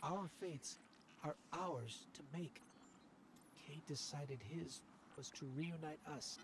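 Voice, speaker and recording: An actor voicing a small, earnest robot companion in a game cutscene, speaking gently and reflectively. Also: male voice, a little high, slow, background noise.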